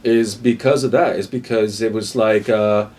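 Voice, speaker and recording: A man's voice: fairly low pitch, steady tone, moderate pace, darkish tone. A middle-aged man with an American accent, a guest telling stories in a casual, reflective way, with frequent fillers. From Spain. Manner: reflective, frequent "you know" and "um". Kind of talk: casual conversational storytelling.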